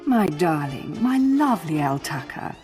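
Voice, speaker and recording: An imperious, possessive noblewoman in a video game. The voice is low and very expressive, drawling with haughty disdain, with faint music behind. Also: measured pace, long reverb tail. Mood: haughty, scheming.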